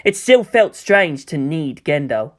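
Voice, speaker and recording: Lower-pitched woman narrating a story. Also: sing-song, measured pace. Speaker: fan fiction audiobook narrator. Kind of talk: scripted dramatic narration.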